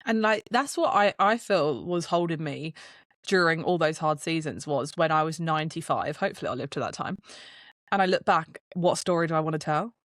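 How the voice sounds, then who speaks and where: A woman's voice: low voice, a little fast, dry recording, clean studio sound. A British woman as a podcast guest, telling stories in an earnest, reflective way. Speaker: guest, brand strategist. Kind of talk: casual podcast chat.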